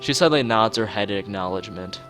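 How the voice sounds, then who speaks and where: A man with a lowish voice streams a story game live. He reads the text aloud and breaks off for joking, mock-formal commentary. Faint plucked guitar music plays behind him. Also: expressive, fast talker, a bit bright. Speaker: streamer playing a visual novel.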